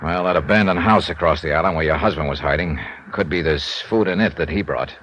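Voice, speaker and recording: An American actor playing a world-weary, wry insurance investigator in a 1950s radio drama, speaking tersely. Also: male voice, low voice, a little fast, a bit bright, a little hiss or hum, rough recording. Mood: wry, probing. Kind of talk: 1950s radio drama acting, hard-boiled narration. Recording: old radio recording with music.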